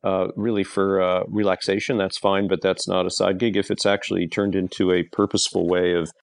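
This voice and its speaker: A middle-aged man from Europe, a leadership consultant answering interview questions in a measured way, often pausing on "uh". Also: sits low, little pitch movement, gravelly. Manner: measured, frequent "uh" fillers.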